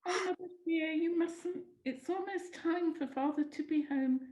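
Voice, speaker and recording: Actress as caring young daughter, radio play: high, slow, tearful, roomy call sound. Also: female voice, a little mellow. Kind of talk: vintage radio drama acting. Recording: echoey video-call room.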